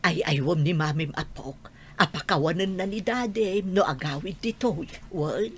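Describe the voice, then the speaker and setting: A woman playing a kindly old grandmother with a husky voice and an Ilocano accent in a radio drama. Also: on the low side, moderate pace, a little hiss or hum, close to an everyday voice. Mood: gentle coaxing.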